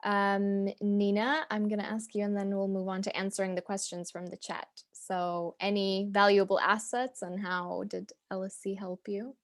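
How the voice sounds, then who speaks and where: Polite woman moderating a video call panel, keeps it moving, fairly flat voice. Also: mid-range voice, normal speed, hardly any pauses.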